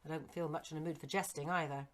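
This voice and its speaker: A woman reading a storybook and voicing a proud, despairing young Victorian gentleman with a curt, well-bred manner. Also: low voice, fairly even intonation, quick pace, close to an everyday voice. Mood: bitter. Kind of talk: storybook character acting.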